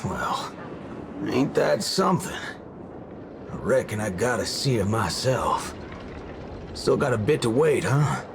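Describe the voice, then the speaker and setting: An actor playing a cocky, laconic cowboy gunslinger in a game, with a dry American Southern drawl. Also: male voice, mid-range voice, very animated, slow pace, long pauses, background noise. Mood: dry, curious. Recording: game music underneath.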